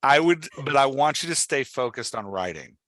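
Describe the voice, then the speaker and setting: A man's voice: medium pitch, lively intonation, normal speed, fairly bright tone, no echo. A man hosting and coaching in a casual conversation, explaining step by step and often checking that he is understood. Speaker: podcast host and writing coach.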